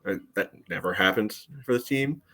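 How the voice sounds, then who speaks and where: A man's voice: on the low side, normal speed, sharp tone. A man co-hosting a soccer fan podcast, giving casual analysis in a hedging way padded with "you know". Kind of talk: casual sports analysis chat.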